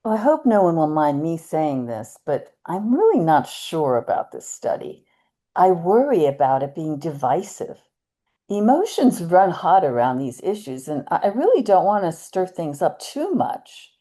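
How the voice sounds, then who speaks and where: A woman's voice: low voice, big pitch swings, unhurried, dark tone, exaggerated voice. A woman playing a concerned American churchgoer with doubts, hesitant and tactful, in a conversational readers theater dialogue. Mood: worried, hesitant.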